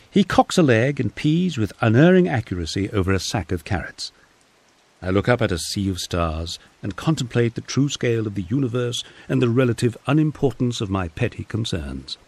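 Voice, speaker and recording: A man narrating a first-person memoir from a script, with a husky voice and a wry storytelling manner. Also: fairly low pitch, darkish tone. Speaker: audiobook narrator.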